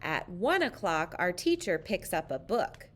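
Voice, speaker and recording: A woman's voice: on the low side, very animated, a little slow, a bit bright. A woman reading a children's picture book aloud, narrating expressively with careful phrasing for young listeners. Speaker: storybook reader.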